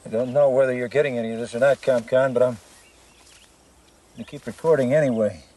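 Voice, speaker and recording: A man's voice: medium pitch, steady tone, fast talker, mellow, natural voice. Man playing weary, dutiful military pilot. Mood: measured, wary. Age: middle-aged. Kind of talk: television science fiction drama acting.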